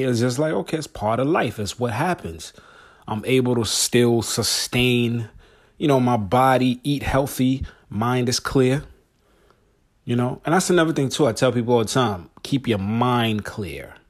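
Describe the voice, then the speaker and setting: A man in his forties hosting a solo podcast, talking casually and slangily straight to his listeners. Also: medium pitch. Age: 40s. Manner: laid-back, slangy, talks straight to listeners. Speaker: podcast host.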